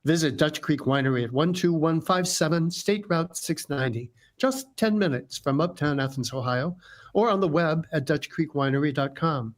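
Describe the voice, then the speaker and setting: A man's voice: a little high, moderate pace. A man working as a show announcer, delivering a scripted radio sponsor message in a polished, promotional manner.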